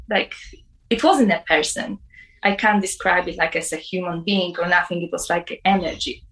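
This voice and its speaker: A woman's voice: mid-range voice, a bit bright, low-quality recording. Young woman, personal testimony, hesitant, filler-heavy. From Poland. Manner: halting, many "like" fillers.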